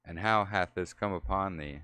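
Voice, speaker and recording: A man's voice: sits low, moderate pace, sharp tone, clear, resonant tone. A man reading the lines of an earnest, devoted son in archaic speech.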